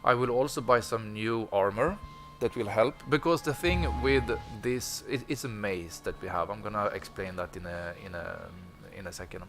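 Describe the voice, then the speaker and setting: A man's voice: fairly low pitch, moderate pace, few pauses, a bit bright, faint music behind. A man streaming a video game, explaining goals in a hesitant, filler-heavy way. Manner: hesitant, many ums and uhs. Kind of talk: casual gameplay commentary.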